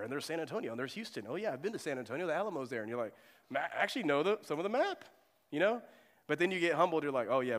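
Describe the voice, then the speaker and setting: Guy pastor preaching, kinda high voice, lots of questions to the crowd, echoey church hall. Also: a bit bright. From Germany. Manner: conversational, asks the congregation questions. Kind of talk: sermon. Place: church sanctuary.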